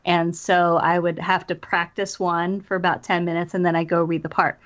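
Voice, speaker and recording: A woman's voice: fairly low pitch, level tone, moderate pace, a bit bright. An American woman talking conversationally as an interviewed author and voice actor, explaining things in a chatty way with quoted asides. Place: remote call from separate location. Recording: dull, lo-fi call audio.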